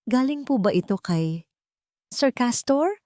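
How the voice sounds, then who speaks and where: A timid young single mother in a romance audio story, played by a female narrator. She is polite and deferential, with a mellow tone and a dry, close sound. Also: fairly high pitch, expressive, slow, dry recording, voice pushed up. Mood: shy, grateful. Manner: shy, deferential. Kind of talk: story character dialogue.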